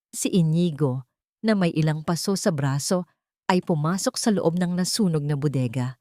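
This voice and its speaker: A woman with a Filipino accent narrates a scripted audiobook story. Her voice sits a little low, and the sound is dry with hardly any room in it. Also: dark tone, no room sound.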